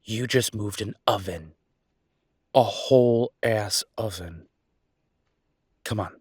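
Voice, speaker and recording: A man playing an easygoing, teasing chef boyfriend in an intimate audio roleplay, chatting with affectionate, playful exasperation in a husky voice. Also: fairly low pitch, slow, darkish tone, no room sound, clean studio sound, full character voice. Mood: exasperated, teasing. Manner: conversational, playful, exasperated banter.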